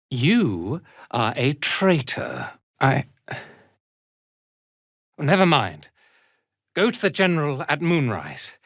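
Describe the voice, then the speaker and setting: An arrogant fantasy game villain, a zealot commander who sneers at everyone beneath him. He speaks very slowly and deliberately, with theatrical swings in pitch, in a close, dry recording. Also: a bit bright, dry recording, exaggerated voice. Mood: urgent, indignant. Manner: haughty, imperious, theatrical delivery. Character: arrogant, contemptuous drow zealot commander, cruel and impatient. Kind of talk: dramatic video game voice acting.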